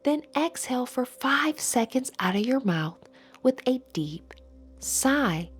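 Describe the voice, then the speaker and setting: A woman leading a guided meditation and affirmations in a soft, breathy, soothing voice with measured, deliberate phrasing. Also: sits high. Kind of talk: slow guided meditation narration with spoken affirmations. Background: background music.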